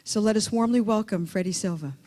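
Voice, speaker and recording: A woman hosting a conference, reading out a measured, admiring introduction of the next speaker. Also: mid-range voice, warm tone, a little hiss or hum. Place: conference hall. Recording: long hall reverb.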